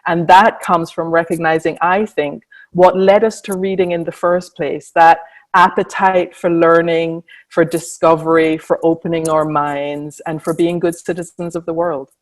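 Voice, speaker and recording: A woman's voice: on the low side, little pitch movement, moderate pace. A woman writer speaks earnestly on a webinar panel, building long, thoughtful sentences. Her voice sounds slightly distant from the mic. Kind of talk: reflective panel answer.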